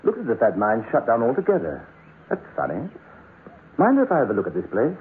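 An actor playing a curious, brisk geologist in a 1960s radio drama, with an expressive delivery. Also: male voice, medium pitch, a little hiss or hum. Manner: animated, expressive. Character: curious, brisk male geologist telling his eerie adventure. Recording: tinny band-limited old radio recording.

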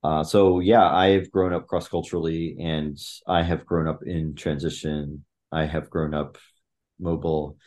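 A middle-aged man with a deep, low-pitched voice talks through his family life in a relaxed interview. He speaks reflectively and in fits and starts, full of fillers and restarts. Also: measured pace. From Thailand. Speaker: podcast guest. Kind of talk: conversational interview.

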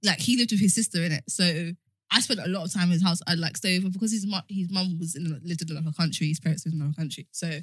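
A woman's voice: fairly low pitch, racing, dry recording. A British woman in her twenties co-hosting a podcast, talking candidly and emphatically in a slangy, advice-giving way. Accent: British English. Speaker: podcast co-host. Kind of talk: candid casual chat. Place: podcast studio.

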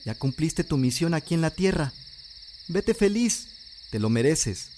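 A man's voice: on the high side, big pitch swings, a little slow, darkish tone, a little hiss or hum. An actor playing a grieving widowed father from rural Mexico in an audio drama, pleading and hesitant, with a Mexican accent. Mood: pleading, tender. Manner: pleading, hesitant, repeating himself.

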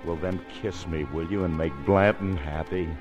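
A man's voice: deep voice, fairly even intonation, moderate pace, natural voice. An actor playing a cocky, slangy young song-and-dance man in a 1950s radio comedy drama. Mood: teasing romance. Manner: brash, slangy, breezy. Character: cocky, wisecracking young male hoofer, newly married. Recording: old radio broadcast with music behind.

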